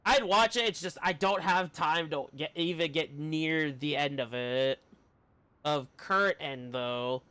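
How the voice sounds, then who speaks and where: Slow-talking, higher-pitched man streaming. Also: pushed and bright, clear, resonant tone, no room sound. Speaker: livestreamer. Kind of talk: casual chat while streaming a game. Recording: game music behind the voice. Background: video game music.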